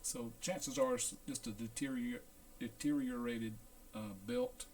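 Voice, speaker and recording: Gravelly man narrating a hands-on demo. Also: mid-range voice, sing-song, a little slow, darkish tone. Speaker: audio equipment repair technician. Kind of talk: casual hands-on demonstration narration.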